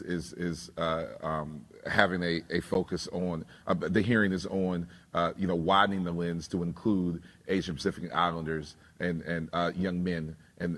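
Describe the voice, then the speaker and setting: Deep-voiced guy making halting announcements, lots of ums, long echo in a big hall. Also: fairly bright tone. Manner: halting, many fillers and restarts. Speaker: session moderator. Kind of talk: conference announcements. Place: large conference hall. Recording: long reverb in a large hall.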